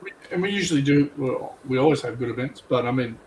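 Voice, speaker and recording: A man's voice: medium pitch, fast, darkish tone. A man chatting casually on a livestream panel, rambling and often qualifying what he says. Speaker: tournament organiser on a livestream panel. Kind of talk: casual chat. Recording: roomy echo, background noise.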